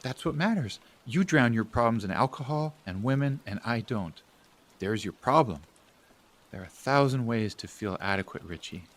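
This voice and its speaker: A man's voice: mid-range voice, lively intonation, normal speed, natural voice. A man acting a frustrated, caring family-man brother in an audio drama, blunt and emphatic. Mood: exasperated. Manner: blunt, emphatic, swinging between exasperated and hushed.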